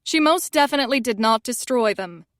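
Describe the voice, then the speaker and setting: A woman's voice: fairly high pitch, lively intonation, brisk, fairly bright tone, dry recording, clean studio sound. A woman voicing a blunt, teasing young woman friend.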